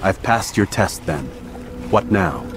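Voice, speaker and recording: Low-voiced terse assassin. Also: male voice, lively intonation, slow pace, over music. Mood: guarded, curt. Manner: curt, serious. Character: stern, terse young male assassin. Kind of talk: dramatic video game acting.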